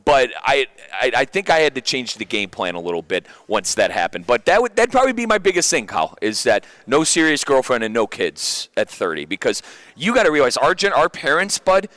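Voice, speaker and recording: A man's voice: medium pitch, fairly quick, no echo. Thirty-year-old man chatting on sports radio. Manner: animated, repeats and stumbles over phrases. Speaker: sports radio show host. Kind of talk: casual radio chat, personal.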